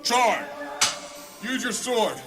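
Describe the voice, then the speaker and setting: A woman's voice: a little high, extremely slow, music in the background. A woman playing a fierce, commanding warrior in a fantasy audio drama, calling out urgently. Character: commanding fierce warrior urging on comrades in battle.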